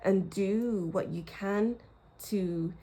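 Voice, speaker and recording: A woman reads tarot for her viewers at an unhurried, drawn-out pace. Her tone is soft and mellow, reflective, and she repeats phrases for emphasis. Her throat sounds slightly croaky. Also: mid-range voice. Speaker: tarot reader. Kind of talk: pick-a-card tarot reading.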